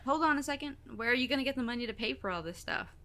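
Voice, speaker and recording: A woman's voice: high-pitched, lively intonation, racing, sharp tone, slight background noise. A woman playing a sardonic, reluctant teenage best friend in an audio drama, dry and exasperated.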